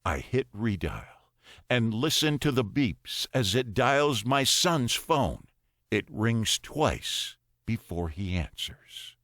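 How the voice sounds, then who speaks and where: A man reading a first-person story as an audiobook narrator, deliberate and dramatic, pausing between phrases. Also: mid-range voice, very animated, a bit bright, no room sound, clean studio sound.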